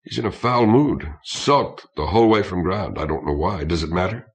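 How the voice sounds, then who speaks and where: A man reading the part of a brisk, frank woman officer aboard a starship in an audiobook. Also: deep voice, expressive. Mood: frank, casual.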